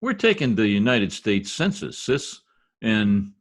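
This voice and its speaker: Casting: male actor as blustery, slangy husband for radio comedy, exasperated, very lively intonation. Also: lowish voice, a little slow, exaggerated voice. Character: blustery, grumbling husband working as census taker. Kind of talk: old-time radio comedy acting.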